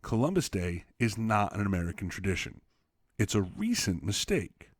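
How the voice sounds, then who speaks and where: A man reading an essay aloud as a teacher, expressively, with emphatic, deliberate phrasing. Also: lowish voice, sing-song, husky, no echo. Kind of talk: expressive read-aloud narration for students.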